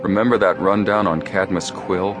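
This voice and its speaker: A man voicing an earnest teenage boy detective with a brisk, eager manner in an audiobook. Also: deep voice, fairly even intonation, over music, natural voice.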